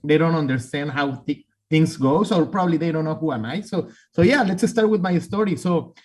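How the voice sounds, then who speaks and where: A man's voice: on the high side, brisk, darkish tone, husky. A man from southern Mexico in his thirties with a strong Mexican accent, a Spanish speaker talking casually as a guest on a podcast interview. He is friendly and upbeat, and he repeats words while he searches for English phrasing. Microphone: remote video call.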